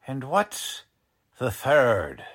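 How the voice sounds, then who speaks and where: A man playing a gruff, blunt, streetwise police lieutenant in a 1940s radio crime drama, talking in tough-cop slang. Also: medium pitch, very animated, extremely slow, fairly bright tone, full character voice. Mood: impatient, gruff.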